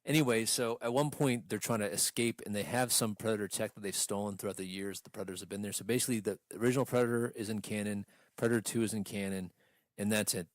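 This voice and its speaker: A man chatting casually as a podcast host, ranting through a story in a profane, exasperated, animated way. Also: fairly low pitch, fast, no echo. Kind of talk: casual movie rant chat.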